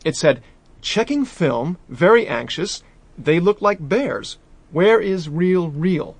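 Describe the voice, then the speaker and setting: A brash young diplomat. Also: male voice, high voice, slow pace, no room sound, full character voice. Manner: brash, slangy, excitable. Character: young American man, brash diplomat nephew. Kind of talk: radio drama acting.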